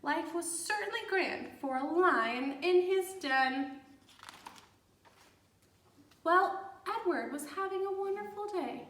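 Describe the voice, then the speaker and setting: High-pitched, animated woman reading a storybook. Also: slow, long pauses. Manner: expressive, explanatory, gasps for effect. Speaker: teacher reading to children. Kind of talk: animated picture-book read-aloud for young children. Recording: echoey room, distant microphone.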